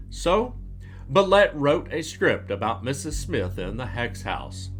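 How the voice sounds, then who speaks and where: A man reading a scripted documentary narration in a measured, factual storytelling manner. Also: a little high, fairly bright tone. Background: music.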